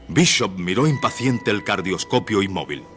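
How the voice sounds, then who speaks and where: An actor playing the solemn narrator of a suspense adventure on the radio. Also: male voice, fairly low pitch, animated, normal speed, fairly bright tone, soft background music. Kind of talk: scripted radio drama narration.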